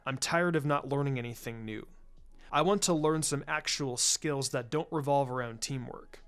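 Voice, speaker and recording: A man's voice: medium pitch, normal speed, a bit bright, smooth, faint music behind, pitched below the performer's own voice, close to an everyday voice. A man voicing a brooding, impatient teenage ninja boy in a story reading, terse and serious. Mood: resolute. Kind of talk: storybook-style character reading.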